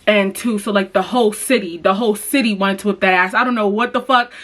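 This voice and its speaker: A young woman with a deep voice telling a story to her viewers in a blunt, profane, animated way, acting out the lines of the people in it. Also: quick pace, a little hiss or hum. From United States. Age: young adult. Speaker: YouTuber. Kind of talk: casual storytime vlog.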